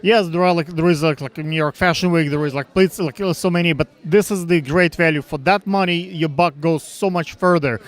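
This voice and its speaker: A man with a Russian accent interviewing backstage at a fashion show, asking questions haltingly with many fillers and restarts. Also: a little high.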